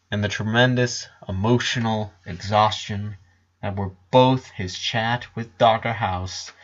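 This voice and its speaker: A man reading a scripted story as narrator, in a measured way with pauses between phrases. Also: mid-range voice, a bit bright, a little hiss or hum. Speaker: fan fiction narrator. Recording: dull lo-fi voice recording.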